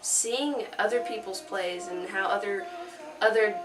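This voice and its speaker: A teenage girl reflecting casually in an interview, halting as she searches for words. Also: mid-range voice, background noise. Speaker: high school student actor. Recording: echoey room, distant mic, music over.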